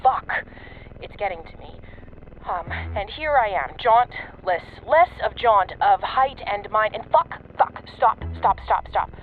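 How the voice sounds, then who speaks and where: A woman's voice: mid-range voice, expressive, measured pace, a little hiss or hum. An actress playing a sardonic, blunt female secret agent in an audio drama, cornered and unravelling, heard through a radio filter. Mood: frantic. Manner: blunt, terse, swearing. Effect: radio transmission filter. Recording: tinny narrow-band radio with music.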